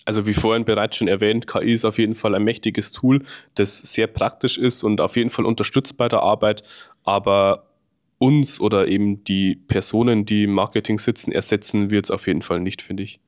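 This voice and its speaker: A man working as a video editor in marketing gives casual interview answers. He talks off the cuff in a fairly low voice. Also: level tone, brisk.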